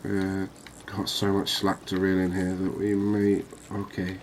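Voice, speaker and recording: A man giving relaxed, unhurried, musing ASMR-style gameplay commentary, chatting to his viewers. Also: low-pitched, slight background noise. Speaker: host of a cozy fishing game channel.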